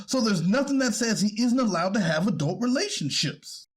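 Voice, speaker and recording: A man playing a deferential defense attorney in a courtroom readers theater, formal and hesitant when challenged, with a husky voice. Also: sits high, animated, normal speed. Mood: earnest, pleading. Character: deferential male defense attorney pleading for his client. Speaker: actor reading a defense attorney.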